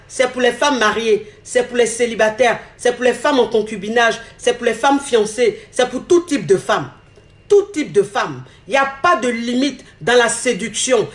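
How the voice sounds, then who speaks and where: Brief: woman in her 30s, higher voice, a bit hoarse, recorded on a phone in an echoey room. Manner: emphatic, repeats phrases, gestures a lot while talking. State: slightly hoarse from a cold. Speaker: relationship coach. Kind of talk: animated advice monologue to camera. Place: indoor room. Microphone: phone camera at arm's length.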